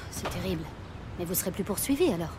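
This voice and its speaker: An actress playing a policeman's exasperated, sharp-tongued wife in a French audio drama, dry and scolding. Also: lowish voice, animated, fast talker, close to an everyday voice. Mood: concerned. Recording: noisy ambience behind the voice.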